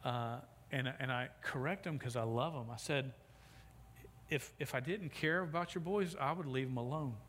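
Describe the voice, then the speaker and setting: A man's voice: medium pitch, moderate pace, fairly bright tone. A middle-aged man preaching a sermon as pastor, speaking with a stutter that repeats sounds and restarts words, on a sound system microphone. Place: church sanctuary. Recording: long hall reverb, faint background noise.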